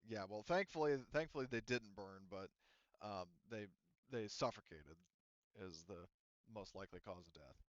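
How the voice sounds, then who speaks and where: Clear-voiced man running a game, slightly muffled. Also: on the low side, normal speed, no room sound. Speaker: game master. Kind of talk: casual tabletop game narration.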